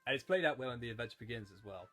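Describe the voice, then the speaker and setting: Young man rambling, slightly husky voice. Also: mid-range voice, lively intonation, very fast, sharp tone. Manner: rambling, stop-start, repeats phrases. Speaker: YouTube reviewer. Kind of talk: casual rewatch commentary on a children's show.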